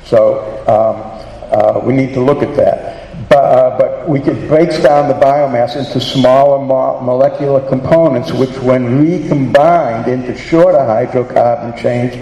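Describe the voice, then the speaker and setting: A man's voice: medium pitch, unhurried, mellow, lo-fi sound, slight background noise. A man with a Boston accent giving earnest public testimony at a hearing, hurrying with many fillers and restarts. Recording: echoey hall with long reverb.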